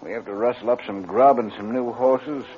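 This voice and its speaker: An actor playing a tired, rough western outlaw in an old radio drama. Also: male voice, medium pitch, moderate pace, over loud music, natural voice. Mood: worn out. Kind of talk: 1950s radio western acting. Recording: dull, slightly noisy old radio recording.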